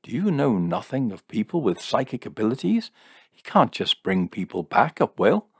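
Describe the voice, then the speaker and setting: A man's voice: medium pitch, big pitch swings, normal speed, breathy edge, no echo, studio quality, voice pushed up. A man voicing a naive, coquettish woman in a story reading, eager and coy. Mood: protesting. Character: joyous, naive, coquettish woman, defending her friend.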